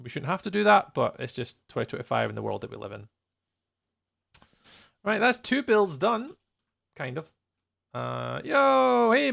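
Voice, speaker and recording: A man's voice: mid-range voice, vocal fry, a bit bright. A man chatting casually on a gaming livestream, thinking aloud in a playful, rambling way. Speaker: live streamer, host.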